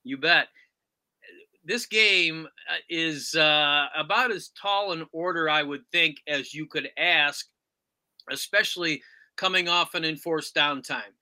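Bright, clear-voiced male podcast host. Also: a little high, takes it slowly. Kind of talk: sports talk interview.

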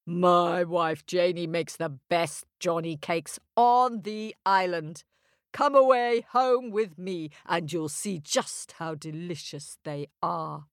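A woman's voice: lowish voice, steady tone, takes it slowly, dry recording, clean studio sound. A woman voicing a huge, tricky two-headed male giant who roars and bellows. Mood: booming, wheedling. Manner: roaring, bellowing.

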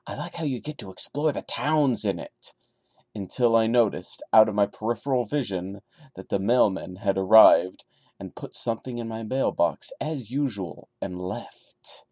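A man's voice: lowish voice, darkish tone, no room sound. A man hosting a podcast, dramatically reading a horror story and breaking in with wry, joking commentary. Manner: wry, jokey, chatty asides. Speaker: podcast host.

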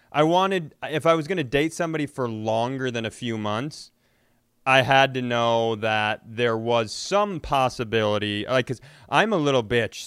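A man with a smooth, clear voice of medium pitch chats on a comedy podcast. He is casual and self-deprecating, often restarting as he works out a thought. The sound is dry and close. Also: fairly bright tone, no echo. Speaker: podcast co-host.

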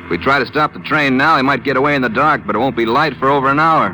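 A man's voice: medium pitch, fast talker, bright, forceful tone, close to an everyday voice. An actor in a 1950s radio drama playing an eager, folksy lawman sidekick with a keen, informal manner. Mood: keen, curious. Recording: noisy old radio broadcast recording.

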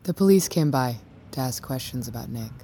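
Cool composed boss lady, low dark voice, measured and polished, audio drama. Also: animated, slight background noise. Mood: polite, composed. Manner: measured, polished, coolly reassuring. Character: composed, controlled female company boss named Miranda. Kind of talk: naturalistic audio drama acting.

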